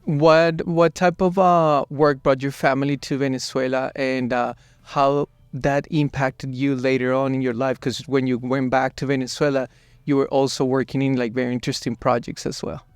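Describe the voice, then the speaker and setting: Casting note: male host from Colombia, smooth clear voice, even and flat, dry studio sound. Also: medium pitch, no room sound. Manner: measured, unhurried questions. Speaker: podcast host. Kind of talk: interview hosting.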